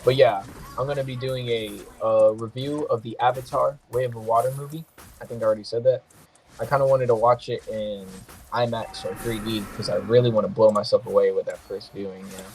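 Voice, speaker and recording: A man's voice: fairly low pitch, steady tone, a little fast, darkish tone, a little hiss or hum. A man hosting a gaming podcast, a bit nasal, joking loosely and trash-talking while he comments on his own play. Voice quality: sometimes nasal. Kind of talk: casual gameplay commentary.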